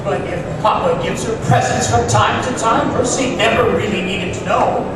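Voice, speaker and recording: An actor playing a genteel older gentleman storyteller, chatty and formal, in old-time radio style. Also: sits high, moderate pace, natural voice. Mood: genial. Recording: echoey hall, far off, crowd noise.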